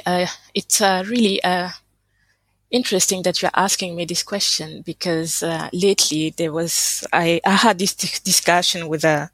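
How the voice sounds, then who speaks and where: Soft-spoken low woman, dry close sound. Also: vocal fry, breathy edge, no echo. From Senegal and Côte d'Ivoire. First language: French. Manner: measured, thoughtful, many hesitant "uh" fillers. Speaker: translator and interpreter, guest. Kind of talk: interview conversation.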